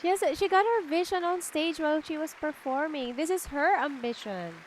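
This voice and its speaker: A woman streamer reacting live to game cutscenes with excitable exclamations. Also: sits high, a little fast, slight background noise, rough recording.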